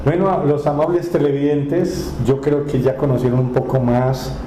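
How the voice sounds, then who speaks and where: A man's voice: medium pitch, fairly even intonation, normal speed, dark tone, reverberant, slight background noise, rough recording. A man hosting a TV interview who asks polite, formal questions. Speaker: TV interview host.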